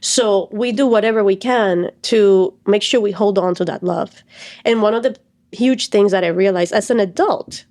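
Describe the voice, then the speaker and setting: Woman guest from Cuba, 30s, mid-pitched, earnest storyteller. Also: darkish tone. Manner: earnest, explains at length with fillers. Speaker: stress and anxiety coach, guest. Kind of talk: reflective interview talk.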